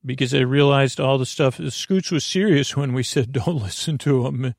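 Creaky-voiced man rambling softly at bedtime. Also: mid-range voice, dry recording, clean studio sound. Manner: meandering, halting, tangent-prone, self-deprecating. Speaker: podcast host. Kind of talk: rambling bedtime sleep podcast monologue.

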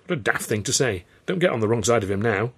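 A man's voice: mid-range voice, lively intonation, fast talker, slightly rough. A man with an English accent reading a scripted story aloud as an audiobook narrator.

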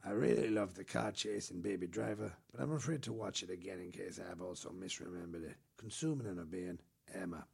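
A man's voice: brisk, creaky, few pauses, a little mellow, breathy edge. A man co-hosting a comedy podcast, reading a listener email aloud in a playful, exaggerated way. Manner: playful, exaggerated reading with big pitch jumps. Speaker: podcast co-host.

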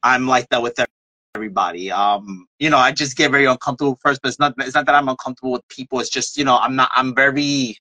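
Bright-voiced man in his thirties. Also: mid-range voice, fast talker, no echo, low-quality recording. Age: 30s. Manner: candid, laid-back, swears casually. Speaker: podcast host. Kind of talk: casual chat.

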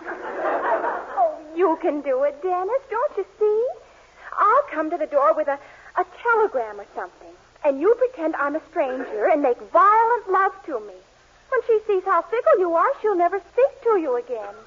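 Sassy young gal from an old radio sitcom, really high and lively, muffled sound. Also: female voice, moderate pace, exaggerated voice. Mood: scheming. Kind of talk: 1940s radio comedy acting. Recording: muffled 1940s radio recording.

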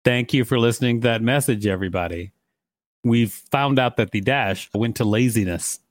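A man's voice: medium pitch, moderate pace, dry recording, clean studio sound. An American man hosting a podcast, reading reference text aloud and bantering casually. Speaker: podcast host.

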